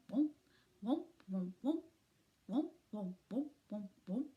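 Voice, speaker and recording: Middle-aged female storyteller, higher voice, very animated intonation, expressive read-aloud for children. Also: slight background noise. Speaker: teacher reading aloud to students. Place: small furnished room at home, indoors.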